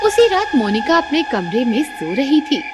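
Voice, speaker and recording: A woman narrating a cartoon horror story in a measured, expressive way. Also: sits high. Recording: reverberant, with loud background music.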